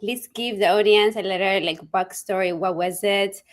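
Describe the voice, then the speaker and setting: A woman's voice: medium pitch, steady tone, normal speed. A woman hosting an interview, asking questions in a friendly, eager way with loose phrasing. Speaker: host. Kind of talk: conversational interview.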